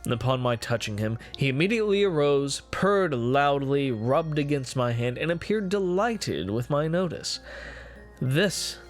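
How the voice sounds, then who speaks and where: A man performing a dramatic gothic horror reading as a guilt-ridden, morbid narrator, with formal, deliberate, theatrical phrasing. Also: medium pitch, lively intonation, lightly acted. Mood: intrigued, measured. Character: guilt-ridden, morbid male narrator confessing his cruelty. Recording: music playing behind the voice. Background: music.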